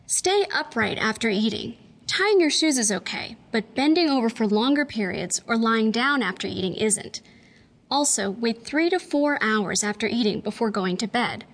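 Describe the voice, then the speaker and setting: A woman with a fairly high voice, working as a doctor and giving scripted health advice. She goes through each tip in a clear, steady, instructional delivery.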